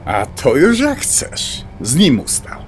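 Casting: devout, reassuring middle-aged pal, colloquial and teasing, swinging mid-range voice, audio drama. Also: male voice, medium pitch, wildly swooping pitch, takes it slowly, a little hiss or hum. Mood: earnest, persuasive. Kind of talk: dialogue acting in an audio drama.

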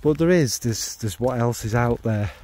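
A man's voice: mid-range voice, normal speed, a little hiss or hum. A man chatting casually about his fragrance hobby while out walking the dog, rambling and wry as he questions himself. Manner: thinking out loud, wry and self-questioning. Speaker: fragrance video maker. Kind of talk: rambling walk-and-talk chat. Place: outdoors on a dog walk in the rain.

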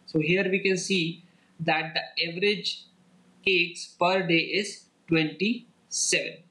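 A man giving a lecture as a voice-over on slides, explaining each step in a steady, repetitive way. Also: sits high, slow, low-quality recording. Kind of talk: slide-based lecture walkthrough.

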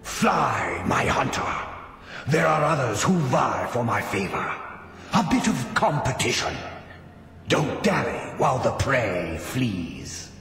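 Echoing, majestic spirit of the hunt. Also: male voice, medium pitch, sing-song, vocal fry, a bit bright, soft background music, heavily acted. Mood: commanding, urgent. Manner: grand, slow, archaic phrasing. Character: majestic spirit of the hunt in stag form, solemn and commanding. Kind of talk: fantasy video game acting. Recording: echoing hall-like reverb.

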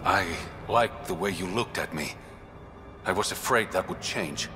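An actor playing a suave, wry smuggler and secret crime boss in a game, teasing and self-assured. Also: male voice, deep voice, a little slow, music in the background. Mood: earnest. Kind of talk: cinematic game dialogue acting.

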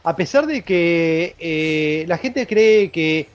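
A man, hosting a live show from a home studio and talking in a halting way with many restarts. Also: on the high side, expressive. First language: Spanish. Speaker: interviewer and show host. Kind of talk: casual chat on a live show. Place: home studio with records on shelves. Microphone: studio mic on boom arm, video call. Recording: dull lo-fi video call audio.

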